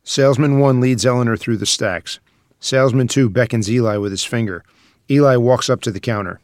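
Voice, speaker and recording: A man's voice: medium pitch, fairly quick, no echo, studio quality. A man narrating the stage directions of a screenplay at a table read.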